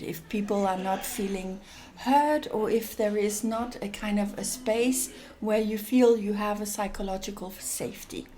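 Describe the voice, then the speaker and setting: A woman's voice: medium pitch, a little mellow, a little hiss or hum. A woman from Denmark, an NGO executive director, answering interview questions thoughtfully with many fillers and restarts.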